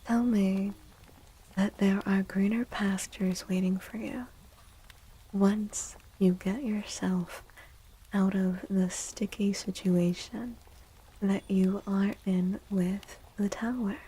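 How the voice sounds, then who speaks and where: Slow, breathy, whispering ASMR woman. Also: medium pitch, steady tone, low-quality recording. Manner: gentle, unhurried. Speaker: livestream host and tarot reader. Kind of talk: soft-spoken ASMR livestream chat.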